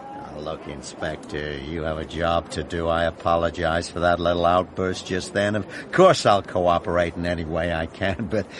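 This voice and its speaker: A man's voice: deep voice, steady tone, moderate pace, noisy background, close to an everyday voice. A man playing an impatient, brusque film director in a radio mystery drama, used to giving orders. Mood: placating. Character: harried, bossy male film director, impatient and curt.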